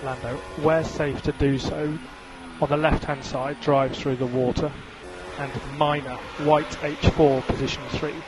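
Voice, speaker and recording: A man's voice: on the high side, level tone, a little slow. A man working as a race engineer, giving clipped, businesslike instructions over team radio and repeating key calls. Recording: very noisy radio link, engine noise. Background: vehicle noise.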